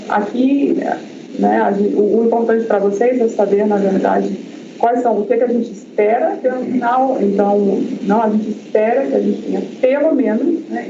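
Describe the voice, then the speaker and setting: A man's voice: high-pitched, vocal fry. A researcher presenting his project at an academic seminar, talking in a hesitant way with many fillers and restarts. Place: university lecture room. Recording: echoey lecture hall, dull lo-fi sound, audible noise.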